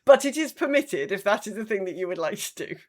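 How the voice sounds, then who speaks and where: Female podcast co-host, mid-pitched, casual, hesitant and wry. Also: expressive, fast, a little mellow. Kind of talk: casual chat.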